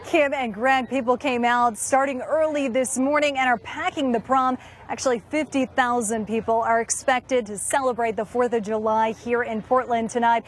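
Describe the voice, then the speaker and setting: High American woman reporting over music. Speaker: live TV news reporter. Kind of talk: live news report.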